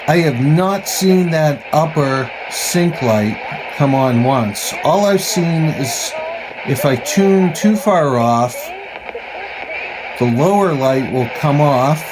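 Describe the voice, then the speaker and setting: A man giving a casual hands-on gadget demonstration as a hobbyist reviewer, halting and pausing mid-phrase, with a skeptical, opinionated bent. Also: medium pitch, noisy background.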